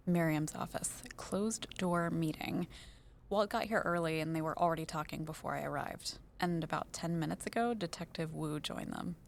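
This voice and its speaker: Friendly, low-voiced woman coworker. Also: normal speed, creaky, slight background noise. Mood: friendly, gossipy. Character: Layla, friendly, chatty, curious woman coworker. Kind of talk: naturalistic audio drama dialogue.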